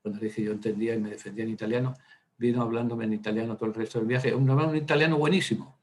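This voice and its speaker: A man's voice: mid-range voice, fairly even intonation, very fast, a little mellow, slight background noise. A man, a priest, teaching a Bible workshop in a chatty, digressive way, with many hesitant fillers and side stories. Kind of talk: informal Bible lecture.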